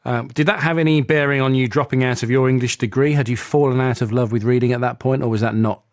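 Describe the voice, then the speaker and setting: A male radio presenter talking in a chatty, enthusiastic way, his questions wandering as he goes. His voice sits at a middle pitch and sounds dry and close in the studio. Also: fairly even intonation, quick pace, a little mellow, no echo. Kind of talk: radio interview.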